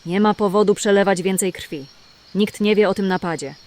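A woman's voice: mid-range voice, normal speed, a little mellow, natural voice. A woman playing a confident, practical, tough-talking outlaw in a fantasy audio drama. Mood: level-headed. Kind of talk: fantasy radio drama acting.

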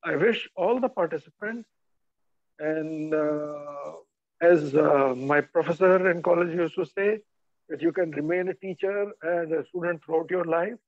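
A man with a bad cough and cold, giving a halting webinar introduction with many filler pauses. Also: on the high side, no room sound. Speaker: cyber forensics instructor. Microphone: video call. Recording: dull lo-fi video call audio.

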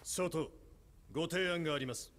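An actor playing a composed male commander who speaks in a measured, formal, authoritative way. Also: fairly high pitch, a bit bright, a little hiss or hum, close to an everyday voice.

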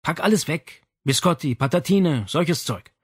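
A man's voice: on the high side, animated, moderate pace, slightly rough, dry recording, studio quality, pitched above the performer's own voice. A man playing a firm, practical adult son in an audiobook, insistent and matter-of-fact. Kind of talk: audiobook character acting.

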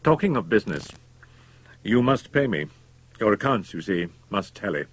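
A man voicing a worldly, domineering young doctor who is a murderer, speaking in a calm, emphatic, persuasive way. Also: fairly low pitch, moderate pace, husky, voice pushed up. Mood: cool, businesslike.

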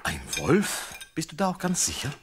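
Teasing big brother, wildly animated. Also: male voice, on the high side, moderate pace. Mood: mocking. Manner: teasing, expressive. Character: teasing eldest brother, mocking but good-hearted. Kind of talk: German audio drama acting. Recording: noisy radio play, clinking tableware.

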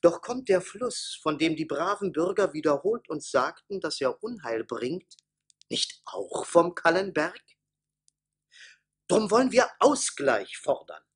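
An actor playing a hot-tempered, blustering imperial marshal in a radio play, with forceful, theatrical delivery. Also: male voice, fairly high pitch, big pitch swings, a little slow, husky, full character voice. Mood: scheming, insistent.

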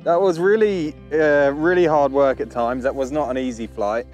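A man's voice: on the high side, steady tone, moderate pace, a little mellow, soft background music. A man in his thirties giving casual, matter-of-fact first-person commentary as a paragliding vlogger, recorded outdoors on an action camera. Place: outdoors, in flight and in an open field. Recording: wind and outdoor noise.

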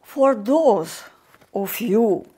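An older woman from Hungary with a Hungarian accent, giving a formal welcome speech in slow, halting English as a competition's artistic director, filmed indoors. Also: on the high side, expressive, mellow, husky. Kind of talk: formal video welcome address. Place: indoor room with painting behind.

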